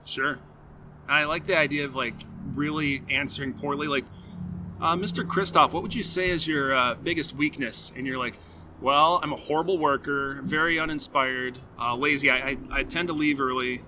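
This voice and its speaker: A man hosting a podcast who tells animated stories, acts out playful quotes and reads sponsor messages. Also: a little high, moderate pace, noisy background. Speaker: podcast host. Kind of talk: casual chat with sponsor reads.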